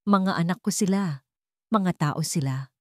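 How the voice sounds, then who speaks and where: A woman's voice: fairly low pitch, measured pace, no echo, studio quality, close to an everyday voice. A woman voicing a frightened but defiant mother in a radio drama, firm and protective with forced bravery. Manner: pleading firmness, forced bravery. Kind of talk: Tagalog radio drama storytelling.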